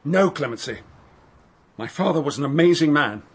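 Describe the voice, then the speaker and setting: An actor playing a flustered, emotional middle-aged husband and grieving son in a radio drama, with a stammering, apologetic manner. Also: male voice, on the high side, expressive, moderate pace, husky. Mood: distraught.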